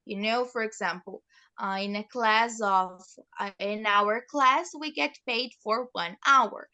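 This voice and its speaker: Slow, bright-voiced Brazilian young woman. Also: mid-range voice. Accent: Brazilian Portuguese. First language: Portuguese. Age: teens. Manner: slow, thoughtful, frequent restarts. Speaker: teacher. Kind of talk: interview answers.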